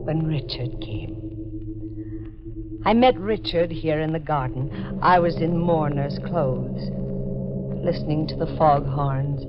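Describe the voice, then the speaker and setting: A woman's voice: low voice, expressive, slow pace, pauses often, very noisy. An actress playing a haunted, fearful woman who broods melodramatically over her gloomy past in a 1940s radio mystery. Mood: haunted, brooding. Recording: dull 1940s radio recording, loud organ music.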